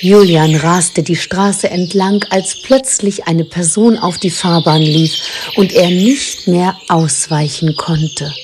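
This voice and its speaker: A woman's voice: lowish voice, fairly even intonation, slow, hardly any pauses, heavy background noise. A woman giving scripted narration for a children's audio drama.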